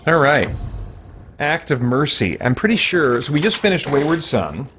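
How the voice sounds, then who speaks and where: A man's voice: fairly high pitch, sing-song, moderate pace, a bit bright, a little hiss or hum. A man giving casual, rambling gameplay commentary as he thinks aloud. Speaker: let's play host.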